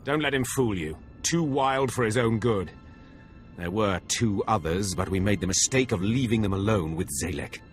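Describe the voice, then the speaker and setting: A man's voice: lowish voice, expressive, normal speed, soft background music, lightly acted. A man acting a cruel, contemptuous overseer of slaves in a video game cutscene, with a sneering, scornful manner. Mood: sardonic. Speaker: Sith academy overseer character.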